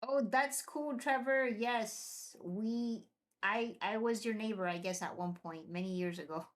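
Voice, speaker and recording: A young woman from Venezuela hosting a live stream, chatting excitedly and answering viewers' comments. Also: a little high, a little slow. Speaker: livestream host.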